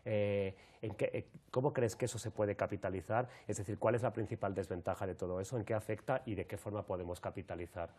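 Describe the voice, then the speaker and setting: A man with an accent from Spain hosting an interview, wordy and halting as he rephrases his questions. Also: lowish voice, steady tone, a little mellow. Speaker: radio or TV show host. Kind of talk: live interview, introducing guests.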